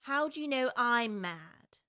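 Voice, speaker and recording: A prim, earnest young girl, polite and curious as she wonders aloud in a storybook. The voice is high and bright, recorded dry and close with no room around it. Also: expressive, takes it slowly, clean tone, dry recording, exaggerated voice. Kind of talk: full-cast audiobook acting.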